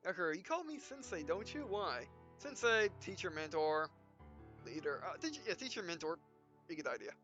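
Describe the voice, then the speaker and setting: A man doing a casual, halting read-aloud of a visual novel for a gameplay video, speaking punctuation and speaker tags as he goes. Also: sits high, sing-song, pushed and bright. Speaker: let's player reading visual novel text aloud. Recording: dull, lo-fi sound with music behind. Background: game music.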